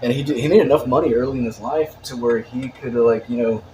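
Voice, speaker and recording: Mellow guy just chatting, rambling, says like a lot. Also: medium pitch, fairly even intonation, fast, a little hiss or hum, rough recording. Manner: rambling, full of "like" and restarts. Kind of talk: casual chat.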